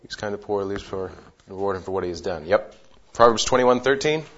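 A man's voice: on the low side, a little fast, a bit bright. A man leading a group Bible study in a casual way, often repeating words as he gathers his thoughts. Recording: dull lo-fi sound.

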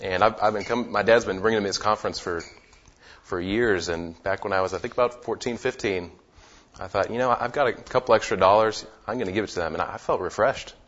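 A man's voice: on the low side, fairly quick, a bit bright. A man leading a group Bible study in a casual way, often repeating words as he gathers his thoughts. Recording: dull lo-fi sound.

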